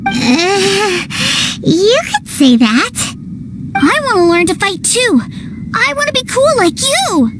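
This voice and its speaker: Very high-pitched, excitable little boy. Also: slow, noisy background, heavily acted. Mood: admiring. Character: earnest, excitable little boy, adoring younger brother. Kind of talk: video game voice acting.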